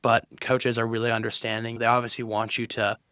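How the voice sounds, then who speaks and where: A man's voice: medium pitch, monotone, a little fast, pushed and bright, clear, resonant tone, no room sound. A young man hosting a casual interview podcast, asking long, loose, rambling questions. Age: young adult. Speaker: podcast host.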